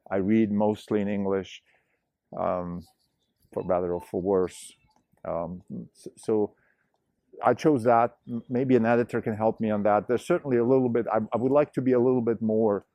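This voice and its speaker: A man's voice: on the low side, a little mellow, dry recording, clean studio sound. A middle-aged man, a novelist hosting his own show, thinking aloud in a rambling solo monologue full of digressions. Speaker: novelist and podcast host.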